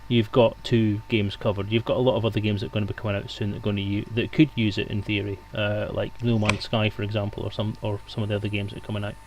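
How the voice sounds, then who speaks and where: A man hosting a casual live interview, setting out his questions in a measured, discursive way. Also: lowish voice, level tone. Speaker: host interviewer. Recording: noticeable background noise, room ambience.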